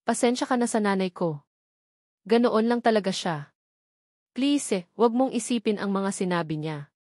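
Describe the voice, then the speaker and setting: A woman's voice: medium pitch, lively intonation, moderate pace, darkish tone, no room sound, clean studio sound, lightly acted. A woman storyteller voicing an earnest, apologetic rich young man in a romance story, pleading and sincere.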